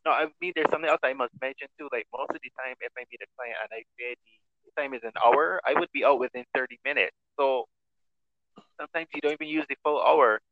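A man's voice: mid-range voice, fast, no echo, phone-quality sound. A man with a Bajan accent, a guest talking bluntly and frankly in a casual interview, swearing freely. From Barbados. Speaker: podcast guest, erotic masseur.

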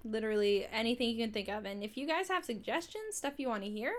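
Young woman podcast co-host, slightly high voice, casual, laughs a lot. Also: fast talker. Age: 20s. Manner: chatty, laughs often, swears casually. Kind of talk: casual chat.

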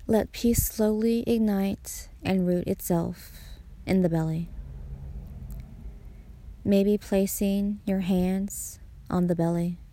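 Slow, very mellow woman guiding meditation. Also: medium pitch, no room sound. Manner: soothing, pausing between short phrases. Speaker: meditation guide, podcast host. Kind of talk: guided meditation narration.

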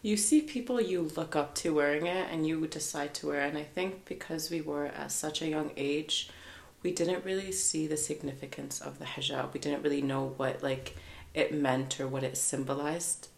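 Low, gravelly young woman guest. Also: fairly even intonation, normal speed, few pauses, reverberant. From Canada. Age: 20s. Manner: chatty, laughs often, many fillers. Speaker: podcast guest. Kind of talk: casual podcast chat.

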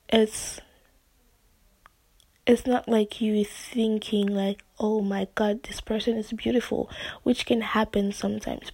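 A woman with English as her second language talks slowly and unhurriedly, her soft voice slightly husky and often dropping toward a whisper. She rambles, circling back with fillers and restarts. The sound is dry, with no room echo. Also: mid-range voice. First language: not English. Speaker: podcast host. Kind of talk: casual solo podcast monologue.

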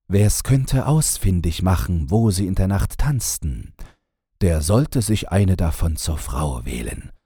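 A man reads a fairy tale in a low, strongly husky voice. His delivery is slow and storylike, with lively melody. The sound is scripted audiobook narration. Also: dry recording, studio quality.

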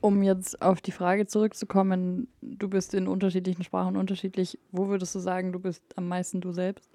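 A woman's voice: fairly low pitch, monotone, brisk, mellow, no room sound. Woman cohost, short chimes in, pulls chat back on track, dry close sound. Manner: brief interjections, steering back to the question. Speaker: podcast co-host. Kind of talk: casual chat.

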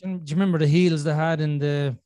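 A man's voice: on the high side, steady tone, fast, dark tone. Earnest man talking on a podcast. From Ireland. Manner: earnest, thinking aloud with restarts and "you know". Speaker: podcast host. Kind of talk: casual conversational podcast chat.

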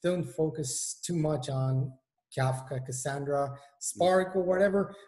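Israeli guy in his 40s on a video call, lots of uh and restarts, voice a bit on the high side. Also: a little slow, a little mellow. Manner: hesitant, many fillers and restarts. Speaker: guest, engineering manager. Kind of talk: casual video-call interview.

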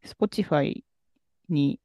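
A man co-hosting a podcast, telling a story in a relaxed, rambling way with many fillers. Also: very slow, no echo. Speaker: podcast co-host. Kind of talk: casual chat about music.

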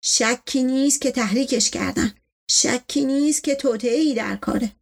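A woman's voice: sits high, creaky. A woman voicing an imperious, suspicious older male patriarch in an audiobook story, stern and pompous in manner. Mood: suspicious, brooding.

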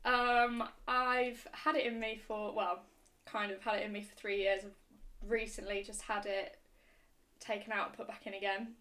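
A woman's voice: medium pitch, little pitch movement, moderate pace, pushed and bright. A twenty-year-old woman speaking casually as a podcast guest. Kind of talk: casual chat.

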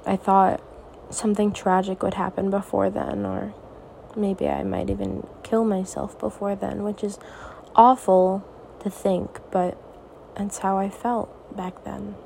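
A teenage girl hosting her own podcast, talking softly and reassuringly in a raspy, tired voice late at night. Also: mid-range voice, little pitch movement, a little slow, slight background noise. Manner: soft, reassuring, hesitant. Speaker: podcast host. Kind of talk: personal podcast monologue.

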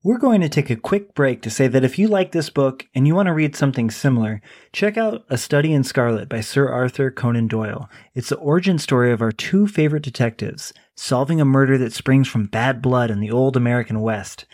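A man's voice: medium pitch, expressive, a little fast, few pauses, darkish tone, no echo, clean studio sound. A man co-hosting a podcast, talking casually about a book and reading a sponsor plug. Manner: conversational, reads a sponsor plug. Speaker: podcast co-host. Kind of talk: casual book discussion and ad read.

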